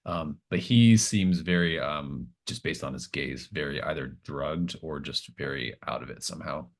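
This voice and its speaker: A man narrating a tabletop game as game master, improvising in a halting, thinking-aloud way. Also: rumbling low.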